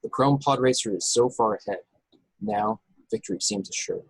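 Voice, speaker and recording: A man's voice: fairly low pitch, normal speed, slightly rough, dry recording, poor audio. A man reading screenplay action and scene headings as the narrator of an informal table read. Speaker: narrator reading stage directions.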